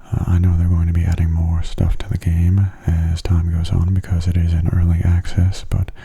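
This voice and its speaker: A man giving soft-spoken ASMR gameplay commentary in a hushed, breathy, unhurried and casual way, close to the microphone. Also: monotone. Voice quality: breathy, husky low voice. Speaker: gaming ASMR video host. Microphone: close mono microphone.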